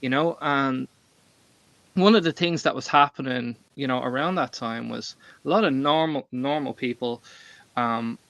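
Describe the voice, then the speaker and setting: Bright, forceful Irish man, dull lo-fi mic. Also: medium pitch, a little slow, gravelly, no room sound. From Ireland. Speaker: livestream panelist. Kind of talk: casual chat, personal storytelling. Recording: dull, lo-fi microphone.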